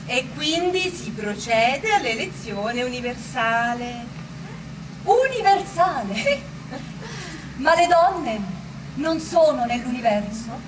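A woman's voice: very high voice, background noise, exaggerated voice. An actress with a Roman accent playing a spirited woman of the people in theatrical radio storytelling, exclamatory and full of rhetorical questions. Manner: exclamatory, rhetorical, repeating words.

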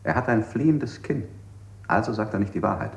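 Male actor playing dogmatic interrogator, radio drama, low pitch, roomy echo, declarative, relentless. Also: brisk, natural voice. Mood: accusing. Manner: declarative, emphatic. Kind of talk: avant-garde radio play acting. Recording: roomy, echoing studio sound.

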